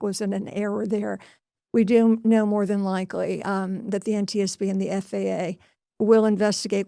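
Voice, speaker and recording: A woman's voice: medium pitch, creaky, a little mellow, no room sound. Woman reporting news, dry close sound. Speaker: transportation news correspondent. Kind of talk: news report, semi-scripted explanation.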